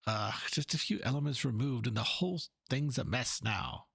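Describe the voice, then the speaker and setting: Man acting an earnest, gravelly game painter. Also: mid-range voice, animated, moderate pace, a bit bright, no echo, studio quality, exaggerated voice. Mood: fretful, brooding. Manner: formal, ponderous. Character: earnest, fretful male painter, polite and wordy. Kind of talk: dramatic reading of game dialogue.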